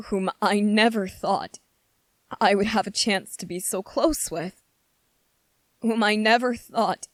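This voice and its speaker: A woman playing a gentle, anxious young prince in an ASMR roleplay, speaking in a hesitant, earnest and pleading manner. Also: mid-range voice, dry recording, natural voice. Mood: awed, sombre. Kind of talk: ASMR roleplay, scripted character acting.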